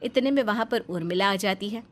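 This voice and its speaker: A woman's voice: medium pitch, a little fast. A woman narrator reading a scripted family story.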